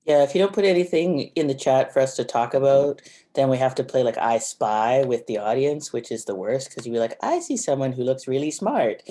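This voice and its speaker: A woman's voice: a bass voice, animated, fairly quick, dark tone. A woman co-presenting online in a casual, playful and wry way. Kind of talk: casual joking chat. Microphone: video call.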